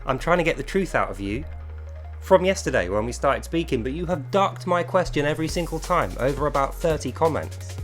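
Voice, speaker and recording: A man's voice: a little high, lively intonation, brisk, soft background music. A man from England with a British accent delivers a confrontational, insistent monologue as an online video creator, addressing one person directly with emphatic phrasing.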